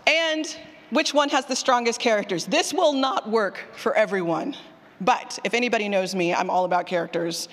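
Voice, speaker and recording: A woman giving an informal presentation to an audience on a handheld mic, chatty and self-interrupting with jokey asides. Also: mid-range voice. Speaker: conference session speaker. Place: large room with an audience. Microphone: handheld microphone. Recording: long hall reverb.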